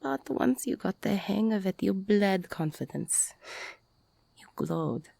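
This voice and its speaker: Woman playing tough older girlfriend, heavy vocal fry, slow hesitant delivery, tender and insecure, intimate audio roleplay. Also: mid-range voice, expressive, dark tone, exaggerated voice. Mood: tender, confessional. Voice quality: husky, gravelly, breathy. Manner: hesitant, slow, half-whispered confiding. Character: older mobster-turned-bartender ex-con girlfriend, tender, teasing, insecure.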